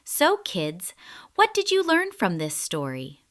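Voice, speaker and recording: A woman narrates a children's story with slow, deliberate phrasing. Her delivery is expressive, with lively pitch movement and a bright, forceful tone.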